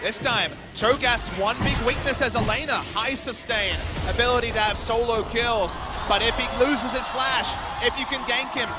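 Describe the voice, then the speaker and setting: An animated male esports analyst with a high-pitched voice. He breaks down the game live with emphatic delivery while loud music plays behind him. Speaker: esports colour commentator, analyst.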